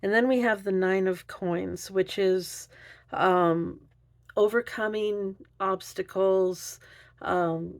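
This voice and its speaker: The woman across the card table at a psychic's booth, feeling her way haltingly through a spread, slow and creaky-voiced. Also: medium pitch, fairly even intonation. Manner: hesitant, halting delivery with musing pauses. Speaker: tarot reader. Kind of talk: unscripted card reading, thinking aloud.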